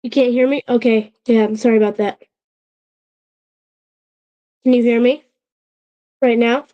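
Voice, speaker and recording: High-voiced woman chatting on a livestream. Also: steady tone, dull audio. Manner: halting, restarts often, checks in with chat. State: recovering from a sore throat. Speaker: channel host. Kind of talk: casual livestream chat.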